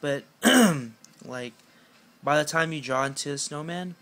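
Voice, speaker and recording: A young man with a raspy voice, chatting casually at home about a card game deck, rambling with lots of fillers and self-mocking jokes. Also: on the high side, slow pace, a bit bright. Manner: rambling, filler-heavy, self-mocking with frequent clearing of the throat. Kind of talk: casual talk to camera.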